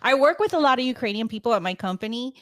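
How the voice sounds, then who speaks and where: A woman's voice: on the high side, lively intonation, fast talker, a bit bright. A young woman co-hosting a casual podcast, chatty, laughing easily and trailing off with fillers. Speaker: podcast co-host. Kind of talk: casual chat.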